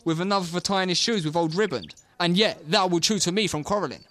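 A young man student playing a mocking, hot-headed gentleman in a Shakespeare audio play, bantering and taunting. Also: sits high, fast. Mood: mocking, playful. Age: young adult.

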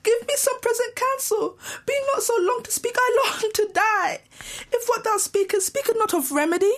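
A woman's voice: very high-pitched, normal speed, fairly bright tone. A woman playing a passionate, defiant young girl in love, declaiming in a Shakespeare audio drama. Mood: desperate. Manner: declamatory, impassioned.

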